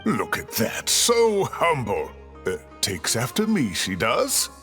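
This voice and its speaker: Proud genial older scholar mentor, super swoopy theatrical delivery, anime game dub, faint music. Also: male voice, mid-range voice, huge pitch swings, slow, fairly bright tone, full character voice. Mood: self-satisfied. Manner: theatrical, avuncular, self-satisfied.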